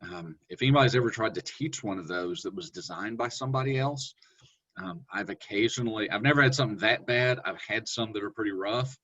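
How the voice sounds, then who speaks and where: A man presenting a webinar on online teaching, talking in a relaxed, conversational way with fillers and self-corrections. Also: brisk. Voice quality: deep voice. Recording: dull lo-fi webinar audio.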